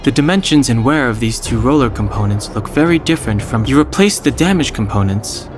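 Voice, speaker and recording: An actor playing a cool, reserved young man in a game cutscene, measured and precise. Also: medium pitch, expressive, music in the background, close to an everyday voice. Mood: focused. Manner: measured, precise, matter-of-fact.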